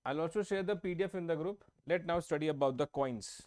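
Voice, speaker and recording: A man, his voice sitting a little high, teaches math over his own microphone. He works through each step slowly, says key points twice and keeps asking his class whether they follow. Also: darkish tone. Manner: explains step by step, repeats and checks with students. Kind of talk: online exam-prep class. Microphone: own microphone, online class.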